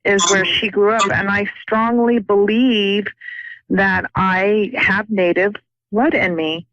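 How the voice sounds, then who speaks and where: A woman's voice: medium pitch, fairly even intonation, slow, a bit bright, no echo. A woman calling in to a psychic show, speaking earnestly and hesitantly, often repeating words. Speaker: caller. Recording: dull dry phone-in line.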